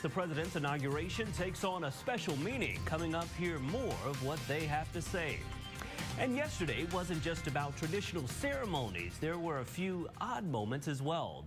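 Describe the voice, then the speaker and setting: A man's voice: on the high side, sing-song, normal speed, over music. An American man anchoring morning television news, reading scripted stories in a studio.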